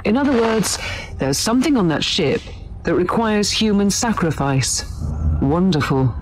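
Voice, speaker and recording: A woman's voice: mid-range voice, lively intonation, unhurried, noisy background, natural voice. A woman voicing a wry, sarcastic starship captain in a video game, speaking expressively. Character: wry, sarcastic starship captain, loyal but self-interested.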